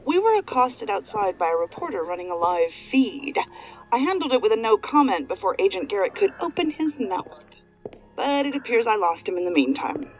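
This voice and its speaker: A female agent on a tinny comms line, literal and no-nonsense, expressive pitch. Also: a little fast, soft background music. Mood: matter-of-fact. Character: crisp, no-nonsense female federal agent reporting in. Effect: phone or radio filter. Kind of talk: audio drama acting. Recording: narrow-band phone or radio line.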